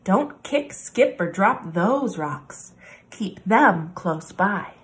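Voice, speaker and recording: Slow, lively woman reading a picture book. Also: mid-range voice, slight background noise. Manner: deliberate, expressive phrasing with pauses. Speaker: children's story time presenter. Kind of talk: read-aloud picture book narration for children.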